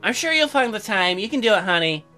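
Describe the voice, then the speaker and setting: A man acts out a young colonist wife from a visual novel game. The voice is high and girlish, animated and chirpy, turning testy easily. Music plays underneath. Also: fast, exaggerated voice. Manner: high-pitched girlish put-on, narrating and bickering. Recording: music behind.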